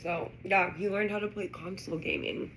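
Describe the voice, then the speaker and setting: A woman's voice: medium pitch, steady tone, normal speed, a bit bright, a little hiss or hum, low-quality recording. A woman reading to a child, talking casually with chatty, informal asides. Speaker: storyteller reading a children's book aloud to a child. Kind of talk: casual chat and read-aloud narration.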